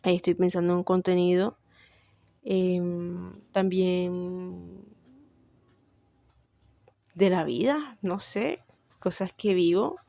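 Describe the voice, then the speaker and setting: A woman's voice: lowish voice, slow, creaky, pauses often, warm tone, dry recording. A young woman with a Colombian Caribbean coast accent, chatting casually about herself and thinking aloud with frequent fillers. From Barranquilla, Colombia. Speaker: vlogger. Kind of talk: casual personal chat.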